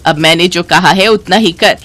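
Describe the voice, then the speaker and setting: A woman's voice: fairly low pitch, moderate pace, fairly bright tone. Woman voicing a scolding, miserly older mother-in-law. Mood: stern, scolding. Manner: scolding, complaining, commanding.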